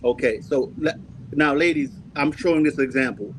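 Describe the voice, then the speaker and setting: A man in his forties from Jamaica, hosting a heated panel debate and cutting in forcefully to hold the floor. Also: a little high, moderate pace, a little hiss or hum, low-quality recording. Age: 40s. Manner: forceful, keeps cutting in with "hold on" and repeats himself. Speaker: host.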